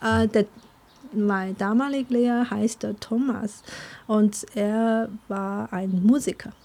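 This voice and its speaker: A woman's voice: medium pitch, fairly even intonation, slow pace, darkish tone. A young woman from China with a Chinese accent, answering interview questions, hesitant with many fillers and frequent laughs.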